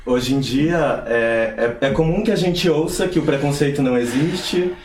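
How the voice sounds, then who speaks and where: A young man from Pará, Brazil, speaking earnestly as an interview guest with measured hesitations. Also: medium pitch, echoey room, slight background noise. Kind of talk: conversational interview.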